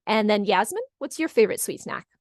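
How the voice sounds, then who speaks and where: A woman's voice: mid-range voice, lively intonation, quick pace, no room sound. A middle-aged woman from California hosts an online session in a chatty, encouraging way. She repeats answers back and reads out comments, with a close, dry sound and a mid-range voice. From California, United States. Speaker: webinar host. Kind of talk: casual moderated chat, reading out audience comments. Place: online video call.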